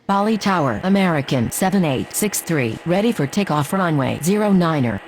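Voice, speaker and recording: A woman's voice: fairly low pitch, lively intonation, normal speed, a little mellow, lightly acted. A woman voicing a composed, formal air traffic radio operator in a game, using clipped phraseology.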